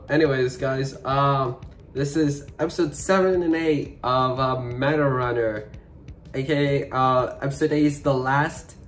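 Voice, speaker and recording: Congested young man, halting chat. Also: mid-range voice, fairly bright tone. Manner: hesitant, many fillers. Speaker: reaction video host. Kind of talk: casual reaction commentary. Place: small bedroom.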